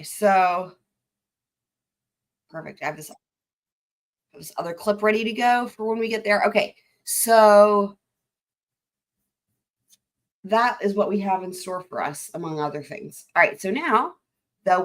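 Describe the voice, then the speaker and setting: A woman hosting a live stream, chatting excitedly and directly to her viewers about courtroom clips. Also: medium pitch, unhurried, long pauses. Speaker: livestream host. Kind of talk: casual live commentary.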